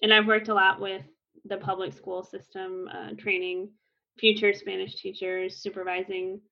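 Woman, 30s, Spanish teacher, easygoing chat with plenty of ums, mid-pitched, slightly dull lo-fi sound. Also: moderate pace, vocal fry. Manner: relaxed, with frequent fillers and restarts. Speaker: Spanish instructor. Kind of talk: casual conversational explanation. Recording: slightly muffled lo-fi recording.

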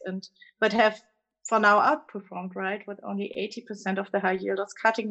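A woman's voice: mid-range voice, vocal fry, a bit bright, low-quality recording. A woman with a German accent, a guest analyst explaining dividend trends in a conversational interview, fluent with frequent fillers.

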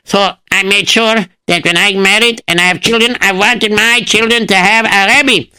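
A man's voice: high-pitched, steady tone, moderate pace, sharp tone, clear, resonant tone, pitched above the performer's own voice, poor audio. Man putting on a fretful farmer. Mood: distraught. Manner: fretful, sing-song, wailing. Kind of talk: storytelling.

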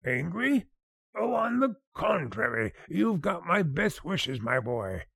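A man's voice: high-pitched, very animated, slow pace, gravelly, fairly bright tone, breathy edge, no room sound, clean studio sound, heavily acted. An actor playing an affable, blustery older male boss in an old-time radio sitcom, avuncular and exclamatory. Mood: avuncular, amused.

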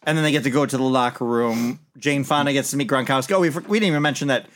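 Male podcast co-host, mid-pitched, rapid delivery, informal film-review chat. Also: fairly bright tone, slight background noise.

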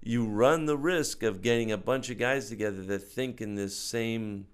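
A man's voice: mid-range voice, moderate pace, clear, resonant tone. An older man with an American accent, talking casually and hesitantly as he thinks aloud about his views. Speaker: interview guest, film maker. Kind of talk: casual conversation about films and politics.

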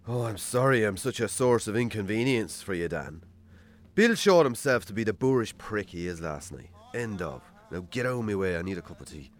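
An actor playing a troubled, hard-drinking Irish farmer in a naturalistic radio drama, halting and sweary. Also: male voice, fairly low pitch, lively intonation, natural voice. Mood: defensive.

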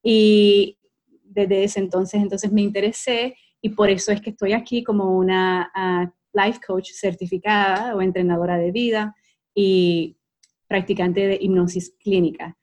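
A woman's voice: medium pitch, steady tone, unhurried, darkish tone. Puerto Rican woman telling her story. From Puerto Rico. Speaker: guest, life coach and clinical hypnotist. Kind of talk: interview.

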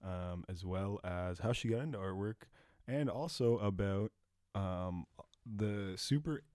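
A man's voice: lowish voice, unhurried, darkish tone, no room sound, studio quality. A young man hosting a casual podcast interview, loose and joking, laughing often. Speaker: podcast host.

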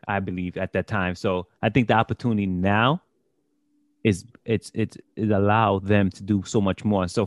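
A man's voice: on the low side, no echo. A man in a casual sports discussion, speaking as a podcast panelist.

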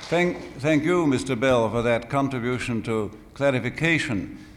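A man's voice: medium pitch, normal speed, slight background noise. Hesitant, polite panel chairman. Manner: polite, hesitant, fumbles words. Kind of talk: moderating a panel.

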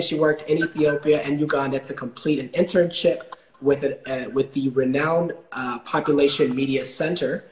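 A young man from Texas hosting a casual interview, relaxed and hesitant, with many fillers. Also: on the high side, roomy sound, slight background noise, low-quality recording. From Dallas, Texas. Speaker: podcast host.

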